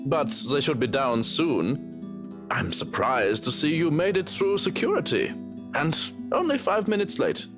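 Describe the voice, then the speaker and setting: A man's voice: on the high side, sing-song, measured pace. An actor playing a dry, haughty male butler in a visual novel game, speaking with sarcasm. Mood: snide. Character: snide, dry male butler and personal assistant. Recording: music playing behind the voice.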